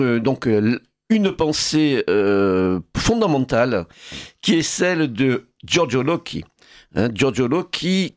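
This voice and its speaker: A man with a Languedoc French accent hosts a radio program from a studio. He talks in a measured, hesitant way, full of pauses and fillers. The mic sound is close and dry, with no room in it. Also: mid-range voice, no echo. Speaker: radio host. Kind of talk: radio talk show. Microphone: studio microphone.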